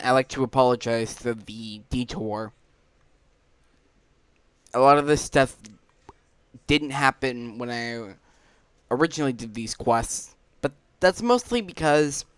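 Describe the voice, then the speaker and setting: A man's voice: mid-range voice, a bit bright. A man giving casual playthrough commentary as he hosts a gaming video.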